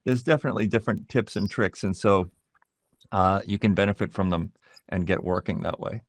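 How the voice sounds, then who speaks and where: A middle-aged man being interviewed as a voice actor, explaining things in a measured, reflective way and pausing to find his words. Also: low-pitched, steady tone, no room sound.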